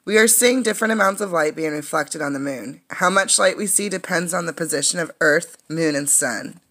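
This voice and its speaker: A woman's voice: deep voice, a bit bright. A woman reading a children's science book aloud like a teacher, explaining things as she goes. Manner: explanatory, pausing to comment and ask questions.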